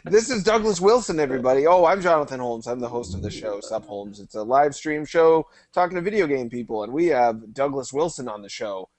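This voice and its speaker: A man's voice: medium pitch, lively intonation, fairly quick, few pauses, a crowd in the background. A man hosting a live-streamed interview show, chatting casually and teasing his guest. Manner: joking, teasing. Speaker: host.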